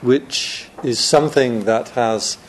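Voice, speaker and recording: A man speaking unhurriedly to a student audience as a monk giving a casual Dharma talk. He chats, teases his listeners and laughs at his own jokes, with a middling pitch and faint room noise behind him. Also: takes it slowly, rough recording. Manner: chatty and joking, laughs often.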